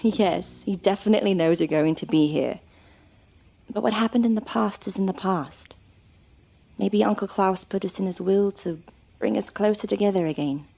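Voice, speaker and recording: A woman acting in an audio drama as a caring, sentimental older sister, earnest and expressive with genteel phrasing. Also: mid-range voice, moderate pace, vocal fry, slightly rough. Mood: fond, teasing.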